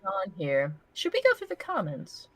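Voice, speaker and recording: A woman's voice: mid-range voice, huge pitch swings, normal speed, fairly bright tone, breathy edge. A woman co-hosting an online show in casual chat. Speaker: co-host reading fan fiction.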